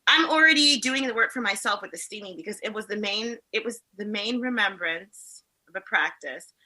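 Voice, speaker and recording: A woman in her forties from the Caribbean, chatting as a podcast guest in a rambling, animated way. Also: mid-range voice, normal speed, rough recording. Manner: rambling, animated, acts out other voices in her stories. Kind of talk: casual podcast conversation.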